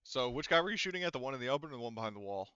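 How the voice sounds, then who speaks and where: A man running a casual online tabletop roleplaying game as game master, thinking aloud haltingly as he works through the rules. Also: mid-range voice, animated, bright, forceful tone, clean tone, no echo, highs cut off.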